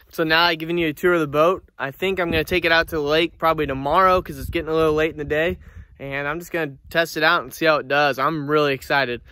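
A young man rambles happily into his phone outdoors, excited and thankful as he shows off his gear. His voice is a touch high and bright, and the sound is dry and close. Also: clean tone, dry recording. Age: young adult. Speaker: outdoors vlogger. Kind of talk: casual selfie vlog. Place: outdoors in open country, then in a truck. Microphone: phone at arm's length.

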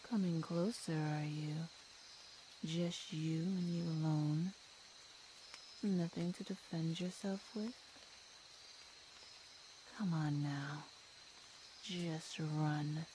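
Woman acting a slow-talking predatory cat monster girl. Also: fairly low pitch, mellow, a little hiss or hum, low-quality recording. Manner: slow, menacing, teasing, with hums and sighs. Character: predatory feline monster girl, teasing and hungry. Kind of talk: audio roleplay, ASMR.

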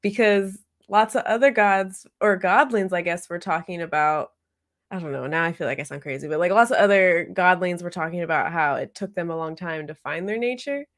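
A woman's voice: lowish voice, brisk, a bit bright. A woman speculating casually in a book discussion, tentative, musing through a string of questions. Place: room at home, video call.

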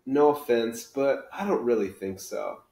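A man's voice: mid-range voice, measured pace, creaky, warm tone. A man reading a children's book aloud as a bookish, literal-minded schoolboy with a precise, matter-of-fact manner. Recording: roomy living-room echo.

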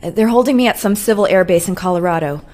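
Game heroine played by a woman: weary, hard-edged operative, medium pitch. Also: fast talker, a little mellow, a little hiss or hum, natural voice. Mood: weary resolve. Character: tough, weary young female secret agent. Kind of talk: video game cutscene acting.

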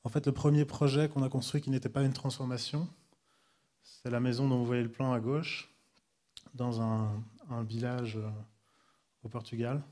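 Swiss guy in his 30s giving a talk, lots of euh, pretty monotone, lecture hall mic. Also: medium pitch. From Switzerland. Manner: halting, restarts with frequent euh. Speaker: architect giving a guest lecture. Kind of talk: hesitant lecture with many fillers. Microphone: handheld microphone at a desk.

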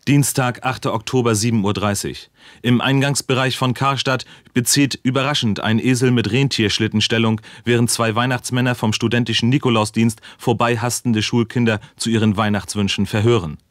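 An actor playing an earnest radio reporter in a mock news piece, deadpan, formal and precise. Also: male voice, mid-range voice, fairly quick, no room sound, clean studio sound, natural voice. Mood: deadpan, grave. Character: earnest male radio reporter and chronicler, straight-faced, factual.

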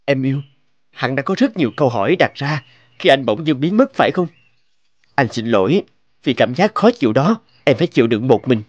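Earnest young boyfriend, dry sound. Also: male voice, mid-range voice, big pitch swings, brisk, dry recording, highs cut off. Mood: tender, earnest. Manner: earnest, tender, polite. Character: devoted romantic young boyfriend proposing marriage. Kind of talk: animated drama dubbing.

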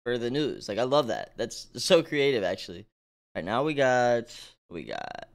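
A man's voice: medium pitch, very animated, normal speed. A man giving slangy, appreciative reaction commentary as a video host.

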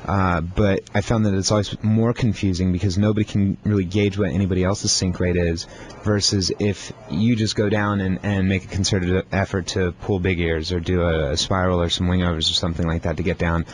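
A man's voice: low-pitched, flat delivery, a little fast, few pauses, slight background noise. A man co-hosting a talk show, chatting casually and repeating words as he thinks. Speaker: podcast co-host. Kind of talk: casual chat.

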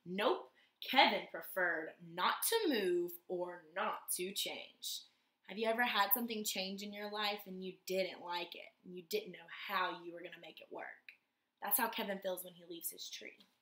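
Bright young woman reading a picture book. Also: on the low side, moderate pace, reverberant, poor audio. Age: young adult. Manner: animated, asks children questions between pages. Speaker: YMCA storytime reader. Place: room in a house, indoors.

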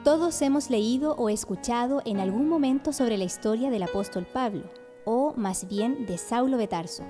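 A woman's voice: a little high, moderate pace. A woman reading scripted narration for a radio drama. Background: faint music.

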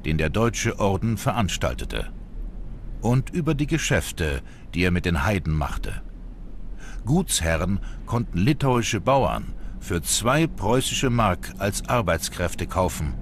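A man's voice: on the low side, slight background noise. A man narrating a scripted history documentary as its narrator. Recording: music under the narration.

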